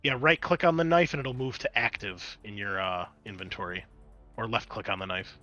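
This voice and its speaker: A man narrating and refereeing a tabletop roleplaying game as game master, in an informal, excitable way. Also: lowish voice, moderate pace, dull audio, soft background music.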